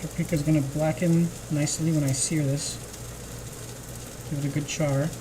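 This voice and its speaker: Woman streamer, very low voice, relaxed narration over a loud frying pan in a home kitchen. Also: normal speed. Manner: relaxed, thinking aloud while cooking. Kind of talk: casual cooking livestream talk. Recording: kitchen noise and sizzling pan. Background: frying and sizzling.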